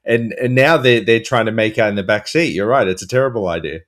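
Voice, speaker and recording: A man's voice: lowish voice, quick pace, a bit bright. A man chatting casually as a comedy panel guest, with dry, self-deprecating humour.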